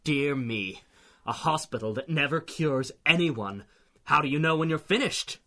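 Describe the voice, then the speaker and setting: A mocking older supervillain from a vintage-style radio serial. His voice sits fairly high and cuts through brightly. He is theatrical and condescending, with a mock-genteel polish. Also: lively intonation, moderate pace. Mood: mocking menace. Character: gleeful, mocking escaped asylum supervillain, an older man. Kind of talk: 1930s-style superhero radio drama acting.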